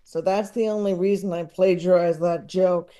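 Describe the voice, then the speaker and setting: A man talking casually as a podcast guest, halting and self-deprecating but enthusiastic, with chuckles slipping into his speech. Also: high voice, steady tone, warm tone.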